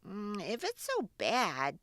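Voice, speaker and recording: Lady doing friendly little neighbor kid from a storybook, curious and easygoing, bouncy pitch. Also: huge pitch swings, slow pace, fairly bright tone, no echo, clean studio sound, heavily acted. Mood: impressed, puzzled.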